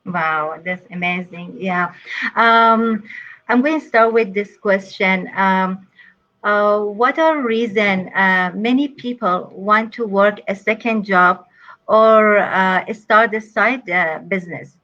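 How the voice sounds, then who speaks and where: A middle-aged woman from Afghanistan hosts a live online interview, speaking English as an at a middle pitch. She hesitates often, with many fillers and phrasing. The stream audio sounds dull and lo-fi. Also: a bit bright. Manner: halting, many fillers, non-native phrasing. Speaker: host.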